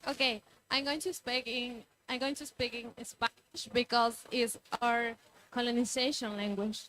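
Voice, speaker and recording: Woman from Ecuador, native Quichua speaker, high and bright voice, earnest panel statement, faint noise behind. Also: fairly even intonation, a little slow, low-quality recording. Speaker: youth activist speaker.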